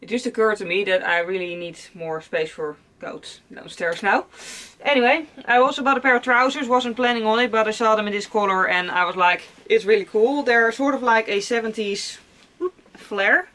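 Bright-voiced young woman chatting casually. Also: medium pitch, moderate pace. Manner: chatty and rambling, many fillers and repeated words. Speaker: vlogger. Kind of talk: casual haul vlog chat. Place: cluttered attic room at home.

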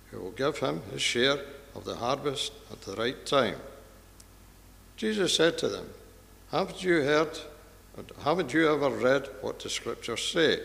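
A man reads scripture aloud at a church service. His mid-pitched voice has a creaky, gravelly edge, and it rings with the long reverb of a large church. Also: fairly bright tone. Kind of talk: Bible reading aloud.

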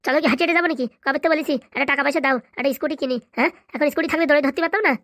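A woman's voice: high voice, very fast, fairly bright tone, no echo, clean studio sound. A woman voicing a cheeky, quarrelsome young male goon in a cartoon. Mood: bickering. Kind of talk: cartoon voice acting.

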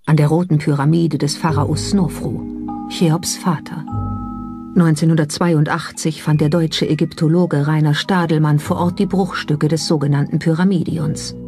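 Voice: mid-range voice, fairly even intonation, normal speed. A narrator reading a scripted documentary. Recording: music behind the voice. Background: background music.

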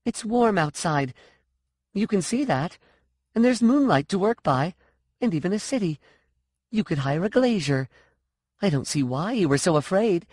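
A man voicing a fierce, angry warrior woman in an audiobook, forceful and commanding in manner. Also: high-pitched, no echo, clean studio sound, voice pushed up. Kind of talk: audiobook character dialogue.

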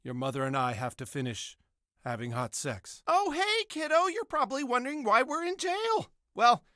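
A man's voice: very high-pitched, huge pitch swings, normal speed, sharp tone, no room sound, studio quality, exaggerated voice. A man playing a paranoid, foul-mouthed, bumbling cartoon dad in a comedy video game, with a jittery, whiny delivery. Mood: earnest, confiding. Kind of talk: animated comedy game voice acting.

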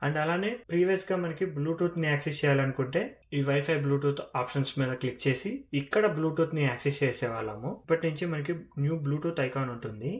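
A man's voice: fairly high pitch, a little fast. A man with a Telugu accent presenting a tech walkthrough, explaining features step by step and mixing in English terms. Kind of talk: phone feature walkthrough narration.

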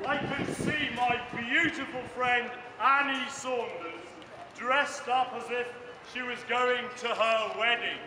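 A man's voice: very high-pitched, slow. A man, addressing an outdoor crowd at an event without a megaphone, projecting his voice so everyone can hear. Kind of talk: loud open-air announcing to a crowd. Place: outdoor plaza by a large building. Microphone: far camera in the crowd. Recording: distant echoey outdoor amateur recording with crowd noise.

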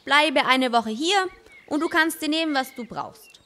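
An actress playing a gruff but fair cheesemaker woman in an audio fairy tale, brisk in manner. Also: high-pitched, pushed and bright. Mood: accepting.

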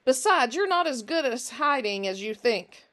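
A woman voicing a large, menacing stranger who puts on a false friendliness and talks loudly and mockingly. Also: on the high side, lively intonation, a little slow, pitched above the performer's own voice. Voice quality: intelligent but unfriendly voice. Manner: loud, projecting, mocking.

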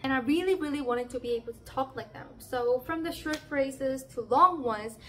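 A woman's voice: sits high, normal speed, bright, forceful tone, a little hiss or hum. A young Korean woman in her twenties with a Korean accent, cheerfully teaching language tips straight to the camera. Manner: cheerful and playful, with emphatic exclamations. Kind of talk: upbeat direct-to-camera lesson. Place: indoor room by a plain wall.

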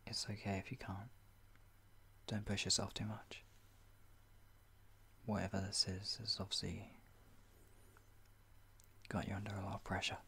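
A young man gently comforting the listener in a whispered roleplay, speaking in a soothing, caring way. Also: on the low side, flat delivery, measured pace, creaky, long pauses, slightly rough.

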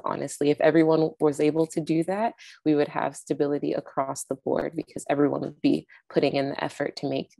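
A woman's voice: low-pitched, gravelly, a little mellow, dry recording. A young woman, a film director, answering interview questions casually and earnestly over a video call with an earbud mic, with lots of "like" and "um". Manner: casual, earnest, many fillers like "like" and "um". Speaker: film director and network founder. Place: indoors with a virtual background. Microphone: wired earbud mic on a video call.

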